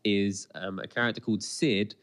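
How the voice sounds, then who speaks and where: A man's voice: lowish voice, steady tone, moderate pace, fairly bright tone. A man co-hosting a podcast in casual chat, rambling along and often interrupting himself. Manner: chatty, rambling, self-interrupting. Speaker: podcast co-host.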